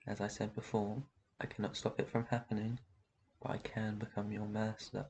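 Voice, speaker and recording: A man playing a very old, protective vampire master in an intimate roleplay ASMR, speaking gently and reassuringly with tender endearments. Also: fairly low pitch, completely flat delivery, moderate pace, slight background noise, lightly acted. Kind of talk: roleplay ASMR, intimate one-sided dialogue.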